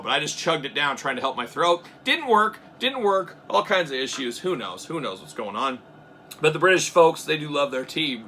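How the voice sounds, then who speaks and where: A young man with a bright, sharp, somewhat high voice chatters through a toy unboxing. He jokes and drifts into tangents, jumping from loud bursts to low mutters. Faint room noise sits behind him. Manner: jokey, rambling asides, swings between loud bursts and mutters. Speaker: toy reviewer.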